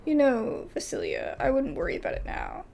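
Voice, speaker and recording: High-pitched, animated woman with a creaky edge. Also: moderate pace, a little hiss or hum. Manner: informal, exclamatory asides with frequent "like". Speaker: video game streamer. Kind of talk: casual game commentary, reading dialogue aloud.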